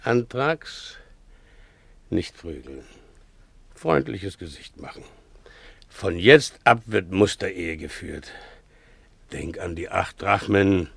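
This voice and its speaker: A simple, boastful donkey driver for a radio play. His voice is lowish and gravelly, swooping widely as he mutters and exclaims in halting bursts. The sound is close and dry. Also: a bit bright, no echo, exaggerated voice. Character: simple, tipsy-prone male donkey driver, gullible, self-pitying, boastful. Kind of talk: German radio play acting.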